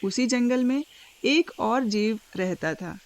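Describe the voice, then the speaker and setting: A woman narrating a scripted moral story for a cartoon, with dramatic, deliberate phrasing and pauses. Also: a little high, animated, slight background noise.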